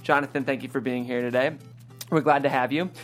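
A man's voice: mid-range voice, steady tone, fairly quick, soft background music. A man hosting a script-reading show, presenting guests and reading out stage directions as a narrator. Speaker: host of a table-read show, also reads stage directions.

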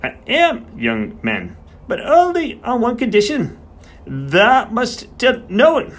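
A man's voice: very high-pitched, huge pitch swings, slow pace, pitched above the performer's own voice, exaggerated voice. A man voicing a small, elderly, beery retired smallholder, who talks in a conspiratorial, rustic way. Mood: emphatic. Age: older. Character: wily beery little old male horse doctor.

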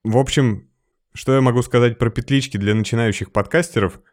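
A man, a sound engineer, explaining microphones in a relaxed podcast conversation, practical and explanatory, with lively emphasis. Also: medium pitch, a little fast, no room sound, clean studio sound.

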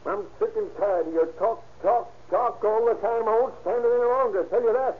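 An actor playing a bitter, paranoid, volatile husband in a querulous, accusing manner, in the style of 1930s radio drama. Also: male voice, high-pitched, fairly quick, breathy edge. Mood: enraged, menacing. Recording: muffled, noisy old radio recording.

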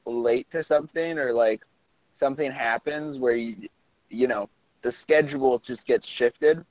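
A young man on a phone call, teasing his friend with playful sarcasm in an animated, casual way. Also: medium pitch, measured pace, no echo. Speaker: podcast co-host. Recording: dull, lo-fi phone audio.

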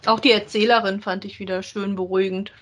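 A woman's voice: medium pitch, little pitch movement, fast, fairly bright tone. A woman chatting casually as a podcast co-host, making brief, plain remarks. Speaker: podcast co-host reviewing audio dramas. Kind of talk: casual chat, reviewing audio plays.

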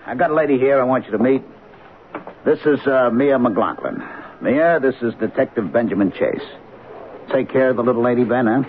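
A brusque police captain with a gravelly, mid-pitched voice that leaps up and down. He is brisk and placating, as in vintage radio drama, on a tinny old recording. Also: a little hiss or hum. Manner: repetitive, coaxing, brisk.

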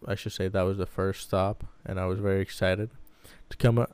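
A man in his twenties with a fairly low, smooth voice, recorded close and dry. He talks casually about himself as a podcast co-host, in a loose way with plenty of hedging. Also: level tone, brisk, darkish tone, no room sound. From Baghdad, Iraq. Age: 20s. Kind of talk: casual personal storytelling.

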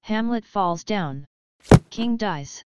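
A woman's voice: mid-range voice, expressive, slow, dull audio, a little hiss or hum. A woman reading stage directions as the scripted narration of an audio play.

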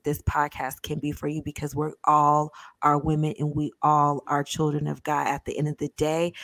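A woman's voice: low-pitched, no room sound. A woman in her thirties co-hosting a podcast, chatting casually and animatedly, sometimes searching for her words. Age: 30s. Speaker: podcast co-host. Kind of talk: casual chat.

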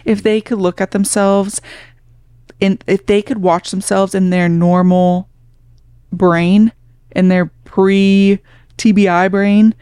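A woman's voice: mid-range voice, level tone, takes it slowly, dark tone, clear, resonant tone, dry recording, studio quality. A woman hosting a podcast in a casual, frank, conversational way, sometimes swearing as a nurse talking shop.

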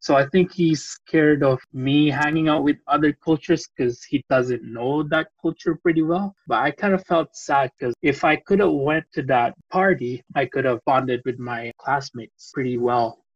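A man's voice: a little high, normal speed, few pauses, breathy edge, no echo. A man in his thirties from the Philippines, a panel guest telling his own story in a plain, matter-of-fact way. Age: 30s. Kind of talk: personal storytelling in a panel discussion. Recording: dull, muffled audio.

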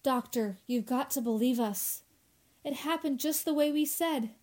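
A woman's voice: high-pitched, a little slow, a little mellow. A woman playing an anxious, insistent young working woman in a radio horror drama, with an earnest, dramatic delivery. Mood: worried, pleading.

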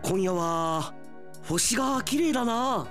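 A man acting a brash, aggrieved high school boy in a melodramatic, exclamatory radio drama style. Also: expressive, slow, fairly bright tone, faint music behind, exaggerated voice. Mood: wondering.

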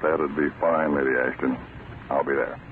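Radio drama: calculating male intelligence chief, low voice, authoritative, thin vintage sound. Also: fairly even intonation, normal speed. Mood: businesslike. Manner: businesslike. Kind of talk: old-time radio drama acting. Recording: tinny vintage radio recording, light noise.

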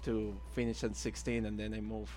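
A man's voice: fairly low pitch, completely flat delivery, moderate pace, music in the background. A man in his thirties with a Venezuelan accent, a native Spanish speaker, casually telling his own story as an interview guest. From Caracas, Venezuela. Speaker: interview guest, illustrator and art teacher.

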